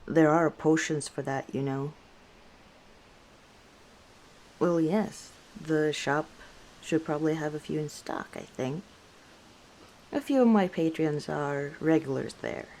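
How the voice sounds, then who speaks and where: A woman playing an easygoing, teasing female orc tavern owner in an unhurried ASMR roleplay. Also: low voice, darkish tone, a little hiss or hum, low-quality recording. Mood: amused, teasing. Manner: unhurried, playful teasing with frequent chuckles. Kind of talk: ASMR roleplay, one side of a conversation.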